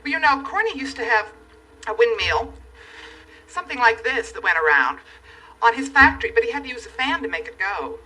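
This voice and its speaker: A woman playing a kindly, patient friend to puppet animals, gentle and polite in children's show dialogue. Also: on the high side, big pitch swings, fairly quick, recorded from a distance, exaggerated voice, low-quality recording. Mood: kindly, thoughtful.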